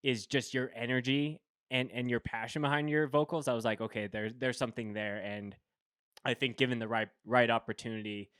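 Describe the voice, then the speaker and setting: A man hosts a casual podcast. His voice is smooth and resonant, sitting in an ordinary middle pitch, and he rambles through restarts and fillers. The sound is dry and close, with no room around it. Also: fairly even intonation, brisk, fairly bright tone, dry recording. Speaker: podcast host. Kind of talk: casual chat.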